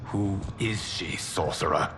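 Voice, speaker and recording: A man's voice: low voice, takes it slowly, noisy background. A man playing a solemn, resolute god who leads warriors, speaking in formal, stately phrases in a game cutscene. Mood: grave. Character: solemn, resolute male god leading a war band. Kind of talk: dramatic video game cutscene acting. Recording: music behind the voice.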